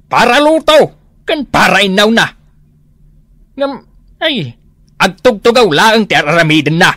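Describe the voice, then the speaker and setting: A man's voice: high voice, sharp tone, no room sound, clean studio sound, full character voice. A man playing an aggrieved older father with an Ilocano accent, stuck with the housework, in a comedy radio drama.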